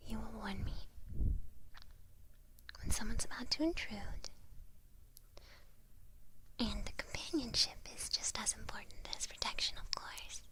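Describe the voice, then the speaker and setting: A woman voicing a giggly, playful, devilish witch in a breathy, teasing ASMR roleplay whisper. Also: a little high, unhurried, pauses often, lightly acted, rough recording. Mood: fond, confiding.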